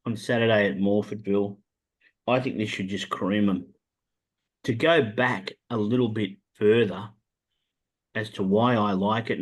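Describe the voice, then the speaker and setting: An Australian man hosts a laid-back racing chat and talks up his tips like a salesman. His pitch sits mid-range, and he stumbles through plenty of "um"s as he goes. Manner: animated salesman pitch, many "um" fillers. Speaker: racing tipster host. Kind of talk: casual podcast chat about horse racing.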